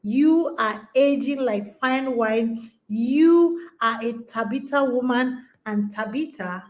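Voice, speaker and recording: A woman's voice: a little high, slow. A woman in her forties hosting a conference and coaching women, talking emphatically and urging her listeners on. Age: 40s. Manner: emphatic and exhorting, with repeated rallying phrases. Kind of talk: motivational talk to an online audience.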